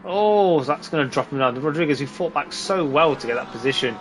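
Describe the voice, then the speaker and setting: A man with a slightly hoarse voice giving casual, informal live commentary on a sim race. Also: a little high, animated, fairly quick, noisy background.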